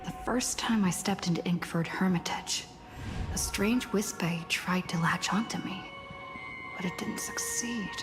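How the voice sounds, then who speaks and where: An actress playing a pensive young woman in a fantasy video game, speaking in a hushed, reflective way. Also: fairly low pitch, a little slow, in a whisper. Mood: troubled, reflective. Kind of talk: dramatic video game acting. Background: music.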